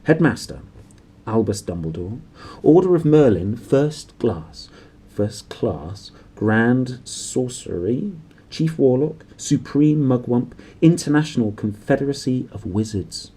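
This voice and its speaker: A man voicing a formal deputy headmistress's official storybook letter, precise and measured. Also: mid-range voice, lively intonation, warm tone, husky.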